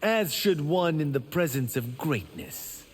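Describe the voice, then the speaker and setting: A man's voice: a little high, very animated, a little slow, slight background noise. An actor playing a proud, boastful young male martial arts champion in a video game, with a smug, self-assured, grand delivery. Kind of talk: video game character dialogue.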